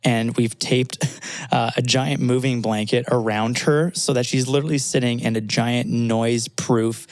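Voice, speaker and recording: A man's voice: mid-range voice, a little slow, no echo. Laid-back man podcast co-host. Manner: relaxed, laughs easily, conversational. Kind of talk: casual chat. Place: small podcast booth in a new office.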